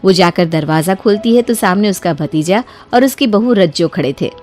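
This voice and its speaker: A woman's voice: mid-range voice, animated, normal speed, a little mellow. Woman narrating a story over music.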